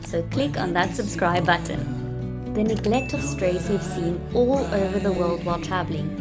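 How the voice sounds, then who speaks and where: A woman's voice: on the low side, moderate pace. Young South African woman vlogging over music. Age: young adult. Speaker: travel vlogger. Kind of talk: casual vlog narration and chat.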